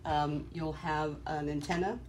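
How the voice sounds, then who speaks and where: Mid-pitched voice, halting, explanatory, informal equipment demo, faint background noise. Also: steady tone. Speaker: presenter demonstrating equipment. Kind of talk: informal technical demonstration. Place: small cluttered office workroom, indoors.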